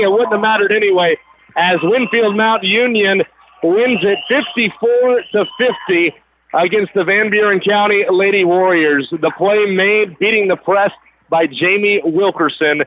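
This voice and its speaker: A man doing live play-by-play sports commentary as a radio announcer, in clipped phrases that he stretches for emphasis on big plays. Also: high voice. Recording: phone-like radio broadcast, loud gym noise. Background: crowd and game noise.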